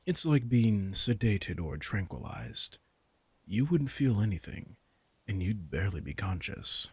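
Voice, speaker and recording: A man playing an arrogant, teasing, centuries-old vampire king in an intimate audio roleplay, casual and sardonic, with a low, gravelly voice. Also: sing-song, a little slow, darkish tone, no room sound, full character voice. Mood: coaxing, menacing. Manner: casual, sardonic, swearing, coaxing his prey.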